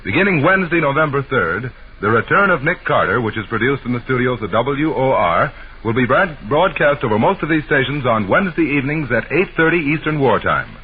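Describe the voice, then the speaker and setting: A man's voice: mid-range voice, big pitch swings, a little fast, husky. An American man announcing a radio serial in a formal, polished 1940s style. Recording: scratchy, tinny 1940s radio recording.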